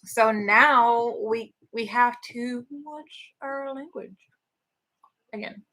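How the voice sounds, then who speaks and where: A woman co-host with a nasal tone, chatting and teasing on a livestream. Her voice sits a little high and rings bright. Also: slow pace. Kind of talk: casual chat on a livestream.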